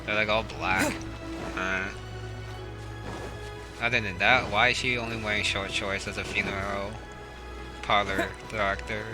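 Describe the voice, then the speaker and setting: A man's voice: fairly low pitch, little pitch movement, a little slow, long pauses, rough recording. A man giving casual, offhand commentary while playing a video game. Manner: offhand, musing, with fillers. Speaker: gaming commentator. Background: game music.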